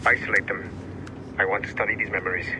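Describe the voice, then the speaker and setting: An actor playing a cold, commanding security contractor in a video game, curt and formal. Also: male voice, on the low side, fairly even intonation, fast talker, background noise, natural voice.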